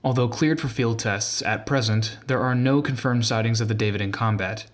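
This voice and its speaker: A man reading scripted documentary narration in a measured, formal manner. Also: mid-range voice. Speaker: video narrator.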